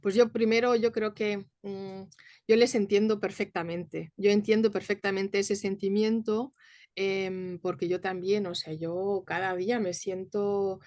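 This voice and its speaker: A woman's voice: medium pitch, steady tone, a little slow, darkish tone, no room sound, studio quality. A woman in her thirties from Germany, a mother and teacher, answering interview questions thoughtfully with hesitant fillers. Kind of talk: conversational interview.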